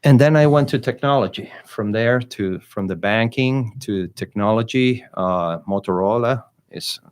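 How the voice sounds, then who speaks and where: A man's voice: fairly low pitch, measured pace, darkish tone, smooth. A middle-aged man from Venezuela with a Venezuelan Spanish accent, a native Spanish speaker, talking hesitantly with many fillers as an interview guest. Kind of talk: interview conversation.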